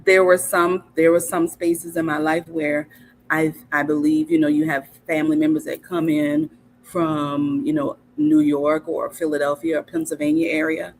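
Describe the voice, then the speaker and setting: A woman's voice: deep voice, little pitch movement, moderate pace, slight background noise. A woman from South Carolina, a podcast guest telling stories in casual conversation, thoughtful and often stopping to restart with ums. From Hilton Head, South Carolina.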